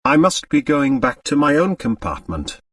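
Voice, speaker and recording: A man voicing a sensible, tactful woman in an audiobook, brisk, matter-of-fact and reassuring. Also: medium pitch, very animated, no room sound, studio quality, recorded from a distance. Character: sensible, robust, tactful woman calming a fellow traveler.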